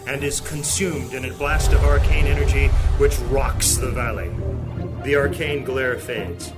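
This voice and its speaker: Man, mid-pitched, dramatic fantasy narration, scripted storytelling, music behind. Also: fairly even intonation, unhurried.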